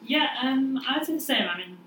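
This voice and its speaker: A woman's voice: high-pitched, animated, moderate pace, fairly bright tone. Reflective young woman in an echoey room. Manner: reflective, many "like" fillers. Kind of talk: casual discussion. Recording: echoey room, mic at a distance, faint noise.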